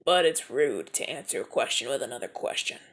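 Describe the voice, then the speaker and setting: A suave mafia enforcer, played by a man in an audio roleplay, charming and teasing the listener. His delivery swings widely in pitch, from lively calls down to close, hushed whispers. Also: a little fast, lightly acted. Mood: stern. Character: suave smooth-talking male mafia enforcer. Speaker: mafia man rescuing a newcomer.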